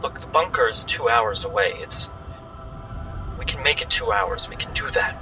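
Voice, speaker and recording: A man's voice: medium pitch, moderate pace, recorded from a distance, over music, lightly acted. Man acting an insistent, anxious survivor. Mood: urgent. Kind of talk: improvised tabletop roleplay.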